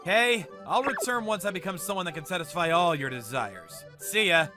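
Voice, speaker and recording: A man's voice: sits high, lively intonation, normal speed, pushed and bright. A man voicing an earnest, eccentric young man in a manga dub, with a theatrical delivery. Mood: solemn. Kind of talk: manga dub character acting. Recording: music under the voice.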